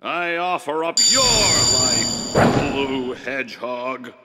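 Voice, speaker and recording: Slow, grandiose evil genie sorcerer. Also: male voice, medium pitch, lively intonation, very noisy. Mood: grandiose menace. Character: power-hungry scheming evil genie sorcerer, grand and menacing. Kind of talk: dramatic villain game acting. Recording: echoey hall with loud music behind.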